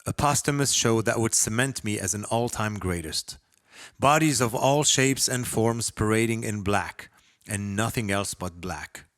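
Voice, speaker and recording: A man from Lebanon reading his own short story as a first-person narrator, with expressive, dramatic delivery. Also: fairly low pitch, measured pace, dry recording.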